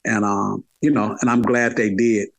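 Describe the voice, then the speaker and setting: A man's voice: fairly low pitch, little pitch movement, moderate pace, vocal fry, no room sound, poor audio. A middle-aged man chatting casually in an interview, repeating phrases for emphasis. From Atlanta, United States. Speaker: podcast guest, hip hop podcaster.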